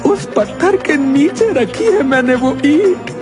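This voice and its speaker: A man voicing a frightened thief in a cartoon, pleading and wailing in a high voice. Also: lively intonation, slow pace, over music, heavily acted. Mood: terrified begging. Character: panicked pleading male palace thief, frightened and weepy.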